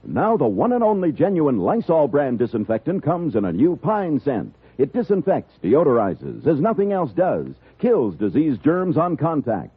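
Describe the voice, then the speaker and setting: A man's voice: a little high, sing-song, moderate pace, few pauses, husky, dry recording, narrow, tinny audio. A man reading a scripted old-time radio advertisement as the announcer. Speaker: commercial announcer.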